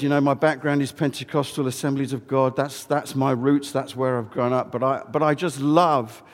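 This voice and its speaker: Middle-aged guy chatting from the front of a big church, lots of ums, long echo in the hall, mid-pitched voice. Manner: friendly, conversational, with many fillers and restarts. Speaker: guest speaker, charity chief executive. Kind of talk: informal address at a church service. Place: large old church building. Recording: reverberant hall.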